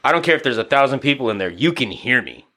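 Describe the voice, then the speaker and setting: Bright-voiced, profane man in casual chat. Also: mid-range voice, very animated, quick pace. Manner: casual, profane, storytelling with acted asides. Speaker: podcast guest, boxing coach and former DJ.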